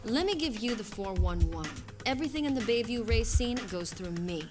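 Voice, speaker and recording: An actress playing a confident, bossy young street-racing fixer in a video game, talking in brash street slang. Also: female voice, fairly high pitch, animated, fairly quick, natural voice. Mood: cool, boastful. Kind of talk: scripted video game cutscene dialogue. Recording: loud music behind the voice. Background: loud music.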